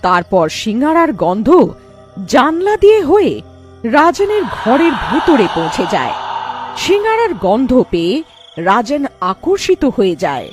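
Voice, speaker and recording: A woman narrates a cartoon tale from a script. Her voice is high and swings animatedly in pitch, with music underneath. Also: wildly swooping pitch, a little slow.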